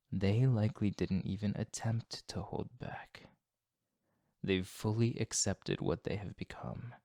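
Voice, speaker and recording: A man as a slow, low, brooding male vampire. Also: vocal fry, no echo, studio quality, heavily acted. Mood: gentle, solemn. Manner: solemn, formal, measured, hushed. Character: brooding, remorseful male vampire fighting his bloodlust. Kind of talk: intimate ASMR roleplay monologue.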